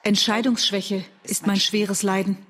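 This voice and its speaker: A woman's voice: medium pitch, flat delivery, a little slow, fairly bright tone. An actress playing an indecisive woman, questioning and torn between two sides, in a German radio drama.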